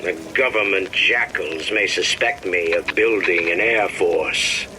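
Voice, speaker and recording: An actor playing a pompous industrialist ruler, delivering theatrical, oratorical propaganda with emphatic grandeur. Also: male voice, sits low, measured pace, background noise. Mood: proud, calculating. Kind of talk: propaganda address, theatrical game voice acting.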